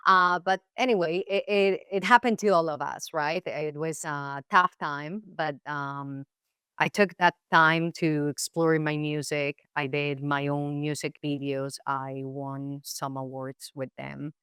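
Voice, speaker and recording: A woman's voice: low voice, fairly even intonation, unhurried, fairly bright tone, smooth, dry recording, studio quality. A Colombian woman whose first language is Spanish, speaking English with a Colombian accent, reflecting as an interview guest with many fillers and restarts. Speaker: guest actress. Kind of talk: casual interview chat.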